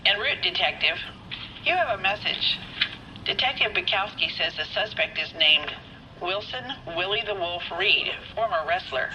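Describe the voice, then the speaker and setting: Police dispatcher in a period game: efficient, formal, muffled radio line with clear noise. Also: female voice, mid-range voice, expressive, normal speed. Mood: businesslike. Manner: formal, matter-of-fact. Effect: police radio or telephone. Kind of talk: period crime drama game acting.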